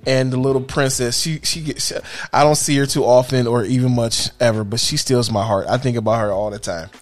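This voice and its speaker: Man, podcast co-host, home studio desk mic, loud joking delivery. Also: medium pitch, steady tone, a little fast. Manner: loud, joking, laughs often. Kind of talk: crude casual podcast banter. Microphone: studio mic on desk stand, headphones.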